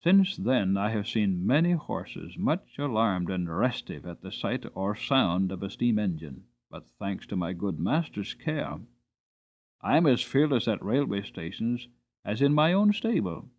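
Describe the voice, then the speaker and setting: A man's voice: fairly low pitch, very animated, unhurried, full character voice. A man voicing a spirited, earnest horse recalling his youth in a dramatic, emphatic audiobook reading. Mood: calm, assured.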